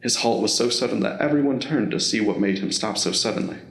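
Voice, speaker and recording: A man's voice: fairly low pitch, fast talker, gravelly. A man reading scripted narration for an audio drama story. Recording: roomy, echoing voice recording.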